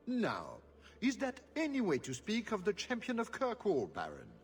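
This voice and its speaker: An actor voicing a suave, amused, slyly menacing aristocratic duke in a video game, with theatrical, condescending formality. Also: male voice, high voice, wildly swooping pitch, normal speed, exaggerated voice. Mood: mocking amusement.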